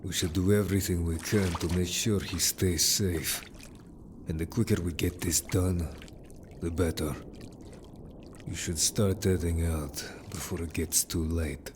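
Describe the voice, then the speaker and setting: A man's voice: low voice, fairly even intonation, a little slow, background noise. A man playing a protective, earnest merman in an audio story, speaking bluntly and a little formally to the listener. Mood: resolved. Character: gruff, protective male merfolk, loyal patrolling adventurer. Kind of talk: audio drama roleplay addressed to listener.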